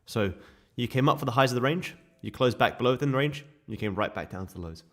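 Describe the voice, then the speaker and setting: Croaky-throated man talking trades. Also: mid-range voice, lively intonation, quick pace, a bit bright, faint music behind. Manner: casual, chatty explaining to viewers. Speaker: day trading streamer. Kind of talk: live trading commentary over charts.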